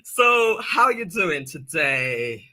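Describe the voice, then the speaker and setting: A woman's voice: sits low, big pitch swings, extremely slow, sharp tone. A woman hosting a local TV interview show, chatty and welcoming, often laughing. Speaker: host.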